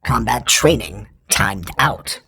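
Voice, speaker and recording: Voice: mid-range voice, takes it slowly, vocal fry, husky, exaggerated voice. An actor voicing an emotionless training droid in a video game, giving formal, clipped combat instructions through robotic processing. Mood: stern, procedural. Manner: formal, procedural, deadpan announcements. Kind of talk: scripted video game voice lines.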